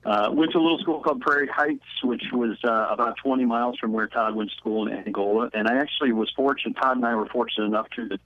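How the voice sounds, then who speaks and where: A man's voice: medium pitch, fast talker, hardly any pauses, slight background noise. A man in his forties from northern Indiana with a Midwestern American accent, telling his story in an easygoing way as a guest calling in. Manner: easygoing storytelling, with ums and uhs. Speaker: guest, business consultant. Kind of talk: phone-in interview. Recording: dull dry phone-line audio.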